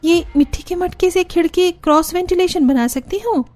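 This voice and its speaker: A woman's voice: very high-pitched, normal speed, a little mellow, pitched above the performer's own voice. A woman voicing a young, resourceful and polite daughter-in-law in a cartoon story. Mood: earnest, eager. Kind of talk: Hindi cartoon story dialogue.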